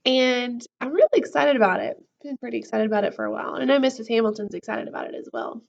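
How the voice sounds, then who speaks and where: A woman school counselor reading a picture book to children in an expressive, casual storytime style. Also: on the high side, quick pace, vocal fry, muffled recording. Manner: animated, acts out the character lines. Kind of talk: expressive children's read-aloud with casual asides.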